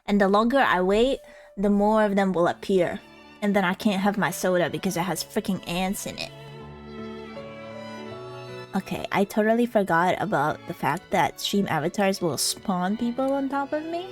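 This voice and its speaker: A woman's voice: medium pitch, lively intonation, moderate pace. A woman livestreamer chatting casually in a rambling, self-deprecating way, with music in the background. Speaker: live streamer.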